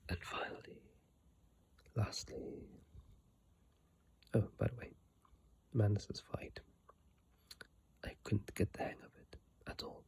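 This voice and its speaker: ASMR whisperer, airy and husky, dark soft tone, creaky edges, informal halting delivery, close phone mic. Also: medium pitch, flat delivery. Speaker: ASMR content creator. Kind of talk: whispered ASMR reading with casual asides. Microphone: iPhone microphone, close up.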